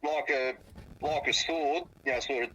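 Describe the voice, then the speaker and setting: An Australian man from South Australia, speaking over a phone on speaker and describing what he saw in a halting way, often repeating his words. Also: a little high, fairly even intonation, sharp tone, slightly rough, lo-fi sound. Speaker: interviewee, eyewitness. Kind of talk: phone interview.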